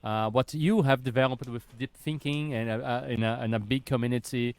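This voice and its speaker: A man hosting a casual podcast conversation, animated and chatty, with lots of "you know" fillers and occasional swearing. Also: medium pitch, fairly quick, clear, resonant tone, no echo. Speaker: podcast host.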